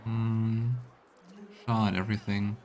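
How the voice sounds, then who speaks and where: A man's voice: fairly low pitch, little pitch movement, slow, a little mellow, slight background noise. A man streaming a let's play, musing over the game and voicing his role-play on a close mic.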